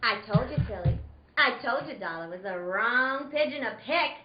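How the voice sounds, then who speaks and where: Woman playing a brassy, high-voiced gun moll. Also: lively intonation, normal speed, music in the background, close to an everyday voice. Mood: scornful. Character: brassy gun-toting female accomplice, impatient and scornful. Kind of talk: 1960s detective radio play, live amateur reading. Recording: echoey room, muffled and noisy.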